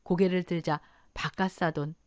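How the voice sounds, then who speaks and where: A woman's voice: lowish voice, steady tone, normal speed, no echo, studio quality. A woman narrating a scripted dramatic family story in the first person.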